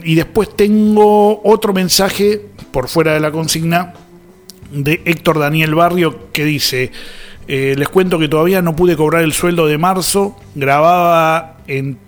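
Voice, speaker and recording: A man with an Argentine accent hosting an informal radio show, thinking aloud conversationally. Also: fairly high pitch. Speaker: radio host. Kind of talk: casual radio talk with listener messages.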